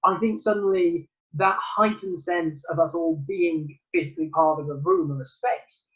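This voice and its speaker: A man's voice: on the high side, steady tone, moderate pace, lo-fi sound. A man from Newcastle giving thoughtful, earnest answers as a theatre producer in a remote interview, with many ums and restarts. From Newcastle, England.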